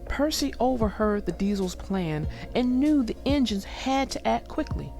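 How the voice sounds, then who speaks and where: Unhurried woman reading a children's story. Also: a little high, expressive, creaky, dark tone. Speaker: storybook narrator. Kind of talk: scripted children's read-aloud narration. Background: music.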